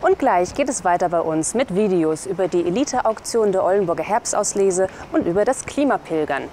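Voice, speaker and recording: Female news presenter, young, animated intonation, mid pitch, faint outdoor ambience. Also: a little fast. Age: young adult. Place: outdoors by a building and trees. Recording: faint outdoor city noise.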